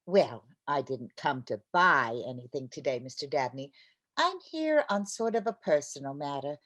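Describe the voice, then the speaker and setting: An actress playing a well-meaning, practical housewife in an old-time radio comedy, wry and easily exasperated. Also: female voice, low voice, sing-song, normal speed, fairly bright tone, heavily acted. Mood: polite, coaxing. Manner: wry, emphatic.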